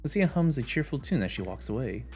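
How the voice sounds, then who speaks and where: A man's voice: medium pitch, big pitch swings, fast, a little mellow, breathy edge. Man streamer reading game text aloud. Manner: casual, plain reading with small restarts and asides. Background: game music.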